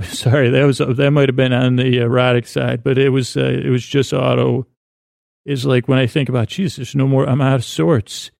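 A man with a creaky, gravelly voice hosts a bedtime podcast, rambling haltingly and interrupting himself as he digresses. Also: medium pitch, a little mellow, no echo, clean studio sound. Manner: halting, digressive, with repeated stumbles and self-interruptions. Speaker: podcast host.

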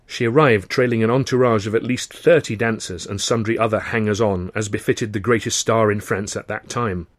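A man's voice: fairly low pitch, fairly even intonation, brisk, few pauses, no echo. A man narrating an audiobook of his own novel in the first person, with a droll storytelling manner.

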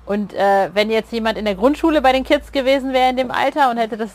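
A woman's voice: fairly high pitch, fast talker. A woman interviewing a guest on a podcast in a casual, chatty way.

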